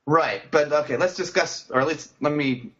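A man's voice: on the high side, normal speed, a bit bright. A man co-hosting a casual sports podcast, talking loosely with many fillers and restarts. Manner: conversational, frequent fillers and restarts. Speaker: podcast co-host. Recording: dull lo-fi podcast audio.

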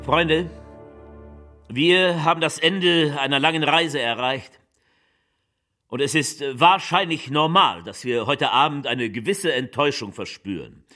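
An actress playing a solemn, stirring political leader who declaims a theatrical speech in weighty, measured phrases. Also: female voice, low-pitched, a bit bright, faint music behind. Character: female political leader, solemn, stirring, coldly militant orator.

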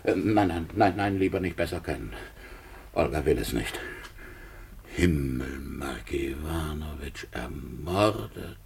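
An actor playing a middle-aged, grumbling, jealous small-town Russian police chief in a 1950s German radio play, gruff and officious with a husky voice. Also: male voice, very deep voice, expressive, takes it slowly, darkish tone, heavily acted. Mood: shocked. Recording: 1950s radio drama recording.

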